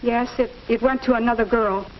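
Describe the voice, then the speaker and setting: A woman's voice: on the high side, fairly even intonation, fairly quick, lightly acted. A woman playing a concerned, polite household woman in a 1940s instructional film, speaking carefully and a little hesitantly. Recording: muffled, hissy 1940s film soundtrack.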